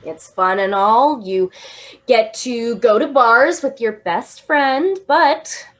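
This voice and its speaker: An actress voices a tipsy young woman after a night out, giggling often and drawling her lines in a radio play. Her voice sits a little high and swoops lively up and down. She talks slowly and loosely. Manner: giggly, drawn-out tipsy delivery. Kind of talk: radio drama acting.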